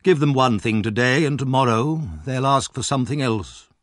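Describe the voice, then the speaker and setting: A man acts out a self-important male parish official from a storybook. He is pompous and given to grumbling. The delivery is highly animated, in a close, dry recording. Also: moderate pace, no echo, lightly acted. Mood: indignant complaint. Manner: pompous, complaining.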